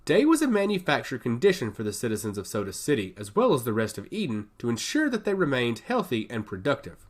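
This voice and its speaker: A man's voice: medium pitch, wildly swooping pitch, quick pace. A man reading scripted science fiction action narration in an emphatic, theatrical way.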